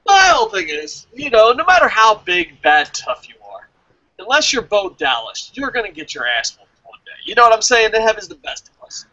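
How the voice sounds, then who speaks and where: A man's voice: high-pitched, sing-song, a little fast, bright, forceful tone, rough recording. A man in casual, profane banter as co-host of a review show, ranting in exasperation and swearing often.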